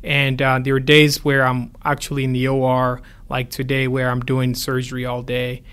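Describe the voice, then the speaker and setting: A man's voice: medium pitch, monotone, normal speed, fairly bright tone, clear, resonant tone. A man from Ghana, answering as an interview guest in a conversational way.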